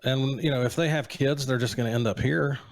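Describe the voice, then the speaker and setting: A man's voice: mid-range voice, fairly quick, gravelly, no room sound, low-quality recording. A man in his late forties co-hosting a casual chat, teasing with dry jokes and banter. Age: 40s. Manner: wry, joking banter. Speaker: podcast co-host.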